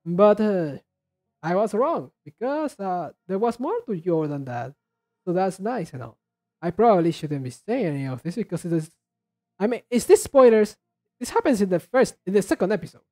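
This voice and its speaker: A man giving casual commentary as a reaction video host, rambling haltingly and often repeating and restarting his words. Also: high voice, very animated, dark tone. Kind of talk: casual commentary on game trailers.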